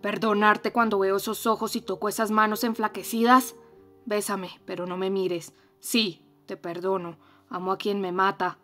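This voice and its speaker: A woman's voice: medium pitch, little pitch movement, normal speed, soft background music, natural voice. A woman voicing a fierce, anguished young man. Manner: harsh, accusing, loud.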